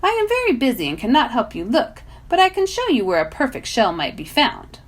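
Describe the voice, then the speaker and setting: Lady reading as old immortal mountain guardian guy, formal n kindly, very animated storybook delivery. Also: fairly high pitch, a little fast, pitched above the performer's own voice. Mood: helpful, formal. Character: ancient male mountain guardian, courteous and dignified.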